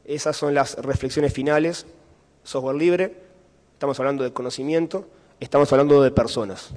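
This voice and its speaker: A man's voice: mid-range voice, normal speed, a little mellow. A man with a Uruguayan Spanish accent giving a conference talk and answering questions, explaining step by step with fillers and checking in with the audience. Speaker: conference speaker. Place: conference hall. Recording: hall reverb from a lecture room.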